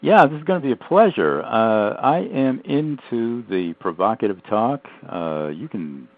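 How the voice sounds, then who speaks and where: A man hosting a radio talk show, introducing his guest in an emphatic, animated way with frequent fillers. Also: mid-range voice, unhurried, no echo. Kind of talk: radio interview with lively promotional delivery. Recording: tinny phone-like audio.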